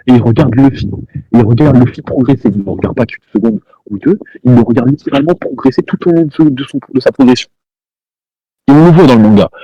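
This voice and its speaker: A man's voice: mid-range voice, dark tone, dry recording, dull audio. A man streaming from home, arguing heatedly with a caller, repeating himself, talking over the other person and throwing insults. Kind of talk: heated live-stream argument.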